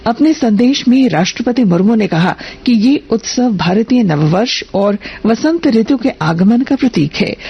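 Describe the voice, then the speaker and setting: Woman newsreader with a creaky, husky voice. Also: fairly high pitch, muffled recording. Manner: formal, measured. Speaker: radio news reader. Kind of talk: scripted news bulletin narration.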